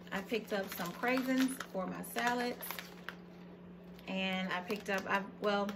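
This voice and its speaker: A woman's voice: medium pitch, background noise. A woman in her thirties with an American accent, chatting casually and folksily to camera as she shows products. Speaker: vlogger. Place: indoors in a plain-walled room at home.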